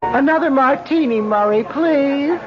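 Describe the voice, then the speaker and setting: A man's voice: extremely high pitch, a little slow. An actor playing a man who masquerades as a flirtatious, plaintive wife in a radio drama, with coy hesitations. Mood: pleading. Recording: muffled old radio recording, music behind.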